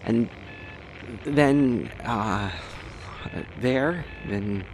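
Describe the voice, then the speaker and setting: A man's voice: mid-range voice, extremely slow, rough recording. A man voicing a nervous, polite, apologetic doctor who stammers and hesitates in a fantasy audio roleplay. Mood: uneasy, puzzled. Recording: noticeable background noise and ambience.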